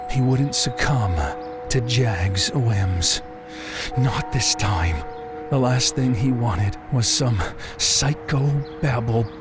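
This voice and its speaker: A man narrating a scripted story as an audiobook narrator, deliberate and dramatic in delivery. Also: mid-range voice.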